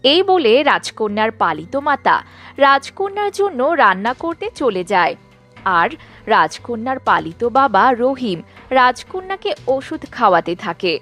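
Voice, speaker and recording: A woman's voice: fairly high pitch, very animated, a little slow, fairly bright tone, soft background music. A woman narrating a scripted children's story as the narrator.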